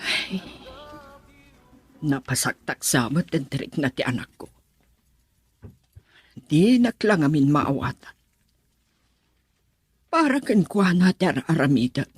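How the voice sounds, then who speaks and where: A woman's voice: low voice, big pitch swings, slow, pauses often, slightly rough, no echo, soft background music, full character voice. A woman with an Ilocano accent playing an anxious middle-aged mother who frets aloud about her missing son in a radio drama. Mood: worried. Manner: fretful, talking to herself in worry.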